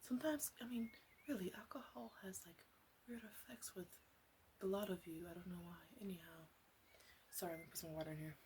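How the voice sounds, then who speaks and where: A woman's voice: lowish voice, steady tone, fairly quick, a little mellow, natural voice. A woman playing a flirty, doting, slightly bossy witch in an ASMR roleplay, rambling and hesitant as she slips in and out of whispers. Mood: soft, rambling. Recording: clearly audible background noise.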